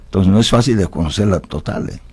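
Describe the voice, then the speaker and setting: Mellow low-voiced man from Italy. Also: fast talker, slightly rough. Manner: philosophical, often seeks agreement with tag questions. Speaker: interview guest, winemaker. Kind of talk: reflective conversational interview.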